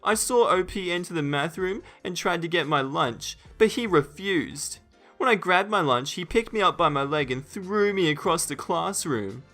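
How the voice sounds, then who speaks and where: A man's voice: on the high side, expressive, moderate pace, pushed and bright, soft background music, pitched above the performer's own voice. A man voicing a whiny, lying twelve-year-old boy who sobs and exaggerates as he tells tales in a story. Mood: sobbing, whiny. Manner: sobbing, pleading exaggeration.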